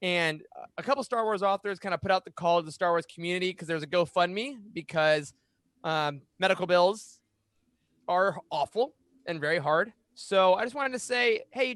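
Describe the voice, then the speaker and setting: A man's voice: sits high, brisk, a bit bright, clean tone, dry recording. A man in his thirties hosting a podcast in an upbeat, chatty way, close on a microphone in a small home studio. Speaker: podcast host. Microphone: boom-arm microphone up close.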